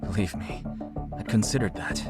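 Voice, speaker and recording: Low-voiced, polished male executive. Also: animated, over loud music. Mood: earnest, hopeful. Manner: measured, formal, articulate. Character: polished, courteous male CEO and grieving widower. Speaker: company executive, client. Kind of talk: English-dubbed video game drama dialogue.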